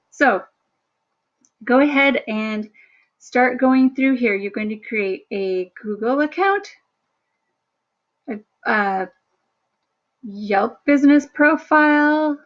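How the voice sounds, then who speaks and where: A woman instructor talking through a screen-share workshop in a chatty, self-deprecating way, with a low, somewhat monotone voice. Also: muffled recording. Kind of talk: screen-share tutorial narration.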